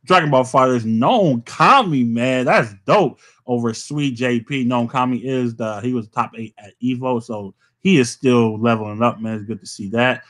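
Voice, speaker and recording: A man hosting a casual podcast, talking loosely and ending nearly every phrase with "man" as he shouts out players. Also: medium pitch, moderate pace, few pauses. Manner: laid-back, says "man" constantly, shouting out players. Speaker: podcast host.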